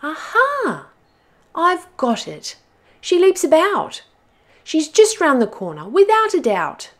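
A woman's voice: high voice, huge pitch swings, slow, voice pushed up, exaggerated voice. A woman voicing an eager, helpful but muddled butterfly in a storybook, encouraging and exclamatory. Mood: eager, confident. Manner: bright-eyed, encouraging, exclamatory.

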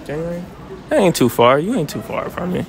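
A man's voice: fairly high pitch, sing-song, fairly quick. A young man hosting casual street interviews outdoors, joking and teasing in slangy talk.

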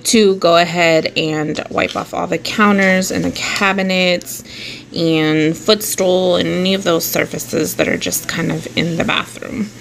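A woman's voice: fairly low pitch, steady tone, a little slow, creaky, a bit bright, poor audio. A young woman talking casually to viewers as she cleans a small home bathroom, her voice picked up by a camera set nearby. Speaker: cleaning vlogger.

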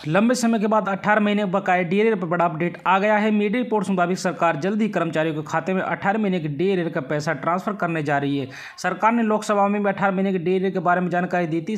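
A man with a high voice reads scripted news updates at a quick clip. He delivers them emphatically, as a presenter announcing headlines.

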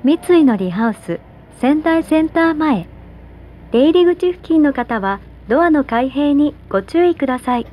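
A woman giving scripted subway announcements in a polite, measured, carefully enunciated way, over a train PA. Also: high voice, lively intonation, dark tone, poor audio. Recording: train PA with vehicle noise.

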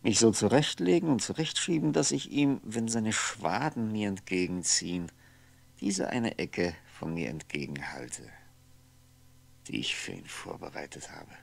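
A sleepless, solitary ageing man in an old radio play. His deep voice creaks as he broods, halting and musing drily to himself. He sounds worn out and wry. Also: expressive, exaggerated voice. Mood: tired, reflective. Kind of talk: 1960s German radio drama monologue, introspective.

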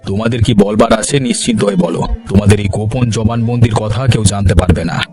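A man's voice: lowish voice, steady tone, a little fast, warm tone, soft background music, close to an everyday voice. A man voicing a stern, authoritative village police officer in a cartoon. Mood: official, conspiring. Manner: authoritative, giving orders. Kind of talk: cartoon voice acting.

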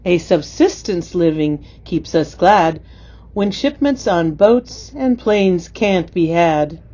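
A woman's voice: fairly low pitch, animated, slow, dark tone, highs cut off. A woman reading a rhyming poem aloud. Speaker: poem reader.

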